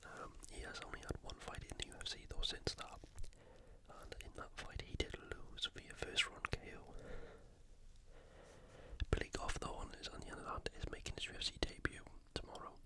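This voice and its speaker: A speaker from the UK giving ASMR sports commentary in a soft, unvoiced whisper, halting now and then while thinking aloud. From United Kingdom. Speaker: ASMR host previewing fights.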